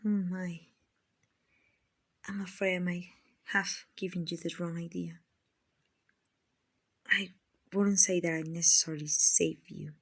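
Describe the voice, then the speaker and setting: A woman voicing a kindly, genteel female vampire in a soft, soothing, half-whispered ASMR roleplay, with a breathy, husky voice. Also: fairly low pitch, steady tone, full character voice. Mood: calm, regretful. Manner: slow, soothing, genteel, endearing. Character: gentle, kindly female vampire, soothing rich slave-buyer.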